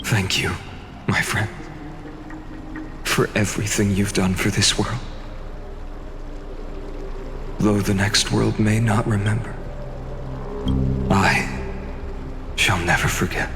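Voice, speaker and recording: A man's voice: on the low side, steady tone, slow pace, creaky, pauses often. A man playing a resolute young hero in a fantasy video game, speaking earnestly and solemnly. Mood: tender, solemn. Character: resolute young male hero shouldering a sacred burden. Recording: long hall reverb over background music.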